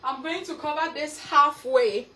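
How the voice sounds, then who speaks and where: A woman's voice: high voice, measured pace, very noisy. Ghanaian woman greeting her livestream viewers. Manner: warm, repetitive greetings of viewers by name. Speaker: cooking livestreamer. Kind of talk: live cooking stream, greeting viewers.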